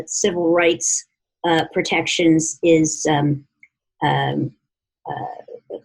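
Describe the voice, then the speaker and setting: A woman with a low, husky voice speaks as an advocate fielding questions at a press conference. She talks in formal policy language, stopping often and feeling her way through each phrase. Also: rough recording. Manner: halting, heavily hesitant, formal policy phrasing.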